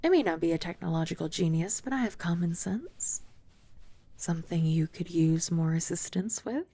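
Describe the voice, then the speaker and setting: A young woman voicing a composed young maid who is also a childhood friend. She uses formal, polite speech with gentle, dry teasing, is soft-spoken, and sometimes drops to a whisper. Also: on the low side, lively intonation, moderate pace, breathy edge. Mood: calm. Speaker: ASMR roleplay performer. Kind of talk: ASMR roleplay.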